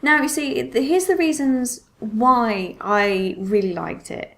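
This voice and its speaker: A woman's voice: a little high, slow. A woman hosting a podcast, talking over movies in a casual, opinionated, conversational style. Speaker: podcast host.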